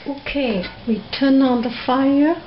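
Lady talking slow thru a cooking video, kinda high voice, dull lo-fi sound w kitchen noise. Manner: slow, plain step-by-step explaining. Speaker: home cooking vlogger. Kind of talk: casual cooking demonstration. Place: home kitchen.

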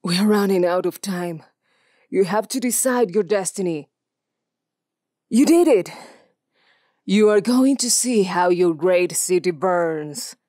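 Woman acting a menacing, forceful warrior villainess. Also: lowish voice, slow, long pauses, no room sound, studio quality. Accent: Argentine Spanish. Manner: forceful, threatening. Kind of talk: dramatic video game acting.

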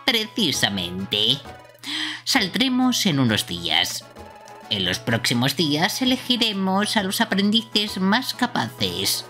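An excitable, bossy bird deputy played by a woman from a video game makes announcements. The pitch is pushed up from the performer's own, with faint music underneath. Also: slow, heavily acted. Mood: stern, formal. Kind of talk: amateur game dubbing.

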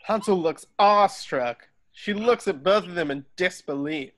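A man's voice: high voice, moderate pace, fairly bright tone, a little hiss or hum. A man reading scripted stage directions as the narrator of a table read. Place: at home on a video call.

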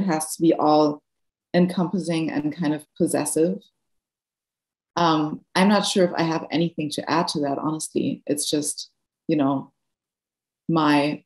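German-accented woman in her 30s, low voice, roomy echo, hesitant and reflective, guest artist answering a Q&A. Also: a little mellow. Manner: thoughtful, searching for words with many fillers and restarts. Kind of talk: Q&A after an art lecture.

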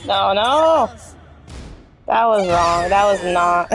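A woman's voice: mid-range voice, expressive, very slow, faint music behind. A young woman with a Canadian accent chatting casually and bluntly in slang while she plays a fighting game. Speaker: video game streamer. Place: indoor room, game music behind.

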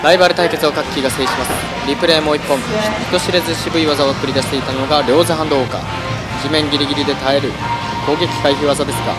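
A man's voice: on the high side, steady tone, very fast, low-quality recording. A man narrating and commentating a competition with dramatic, announcer-style calls. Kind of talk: energetic sports-style commentary. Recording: voice-over under loud music.

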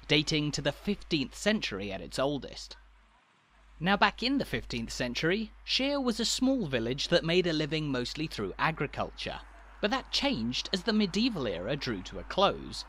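Lively, melodic male tour narrator. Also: on the high side, slight background noise. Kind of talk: scripted travel documentary narration.

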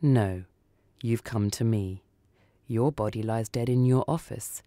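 A man acts out a supernatural son of Death for a radio short story. He is calm and menacing, with a dark tone and a slow, lucid, deadpan delivery. The sound is close and dry. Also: on the low side, dry recording, natural voice. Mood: cold, patient.

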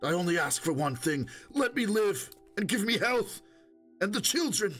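Man voicing a desperate old wounded rogue, high-pitched. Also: very animated, moderate pace, fairly bright tone, soft background music, full character voice. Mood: feverish pleading. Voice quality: hoarse, strained. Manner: pleading, moaning, insistent. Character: old wounded stalker, wheedling, desperate, boastful.

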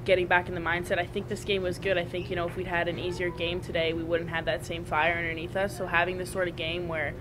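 A woman's voice: fast talker, few pauses. A young adult woman rugby player answering press questions at a table mic, earnest and restarting her phrases. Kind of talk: postgame press conference answers. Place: press room at a draped table. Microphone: table mic on a small stand. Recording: steady background rumble.

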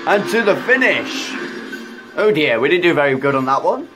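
A man with a croaky voice, chatting excitedly through a racing game as a gaming YouTuber, rambling with fillers. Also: a little high, normal speed, music in the background. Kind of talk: casual racing game commentary.

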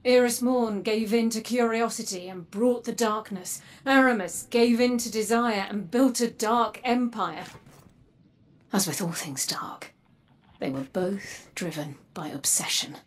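An actress playing a grave, guarded woman warrior in a video game, measured and solemn, her breathy voice dropping toward a whisper. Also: a little high, lightly acted. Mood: resolute, serious. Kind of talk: dramatic video game acting. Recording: game music underneath. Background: music.

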